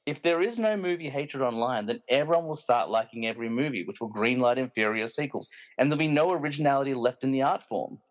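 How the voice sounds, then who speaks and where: A man's voice: mid-range voice, fast, hardly any pauses, lightly acted. An actor playing a bitter, villainous snobbish alter ego in a radio play, pompous and self-important as he rants. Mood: aggrieved ranting. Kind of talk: hobby podcast radio play acting.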